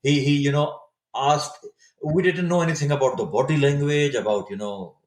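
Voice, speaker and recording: A man in his fifties from Saudi Arabia, a native Urdu speaker, telling his story as a podcast guest, thoughtful and often pausing to restart his words. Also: fairly high pitch, darkish tone. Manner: reflective, searching for words with frequent restarts. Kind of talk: casual interview storytelling. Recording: echoey room sound.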